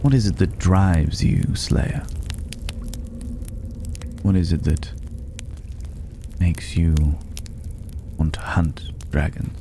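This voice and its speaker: A man voicing a mysterious, cultured recluse in a fantasy ASMR roleplay, speaking in a measured, philosophical way with breathy, whispered edges. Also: low-pitched, exaggerated voice. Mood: probing, disdainful. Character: mysterious, cultured, solitary male stranger living among dragon's hoard. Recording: clear steady background noise.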